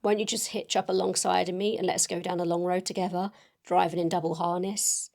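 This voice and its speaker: A plucky young gentleman suitor read by a woman in a literary drama. The voice is slightly low for a woman and noticeably creaky. He speaks in a folksy, sincere, plain way, hearty and earnest. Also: level tone, a little fast. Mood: hopeful, earnest.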